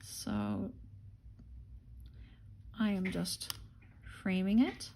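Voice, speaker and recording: A woman's voice: medium pitch, very animated, extremely slow, gravelly, darkish tone, a little hiss or hum. A woman hosting a casual craft-along, unhurriedly thinking aloud and murmuring to herself as she works. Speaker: craft tutorial host.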